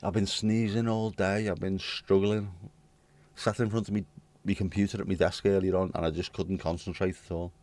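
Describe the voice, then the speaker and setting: A hoarse man with a British accent, chatting casually with viewers on his livestream and swearing bluntly. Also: deep voice, level tone, moderate pace, a little mellow. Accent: British English. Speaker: livestream host. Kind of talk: casual chat reading viewer comments.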